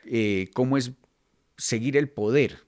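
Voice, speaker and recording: A man hosting an interview in a measured, ceremonious way. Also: mid-range voice, darkish tone. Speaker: interview host. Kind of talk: podcast interview, formal introduction and questions.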